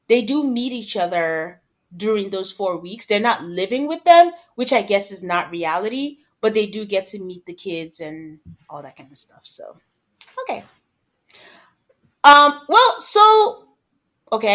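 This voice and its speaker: A woman speaks as a podcast co-host in a casual, conversational style. Her voice sits at a middling pitch, and she slips into imagined quotes to illustrate her points. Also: big pitch swings, measured pace, a bit bright. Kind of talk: casual discussion of reality TV.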